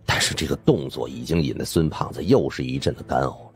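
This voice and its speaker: A man's voice: rumbling low, quick pace, faint music behind. A man giving dramatic scripted narration of supernatural suspense fiction as an audiobook narrator.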